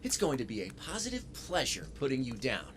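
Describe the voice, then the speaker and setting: Lively, wry robot hero. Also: male voice, medium pitch, a little fast, noisy background. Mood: defiant. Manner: wry, quippy. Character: plucky heroic young robot, wry and defiant. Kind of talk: video game cutscene acting. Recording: loud music behind the voice.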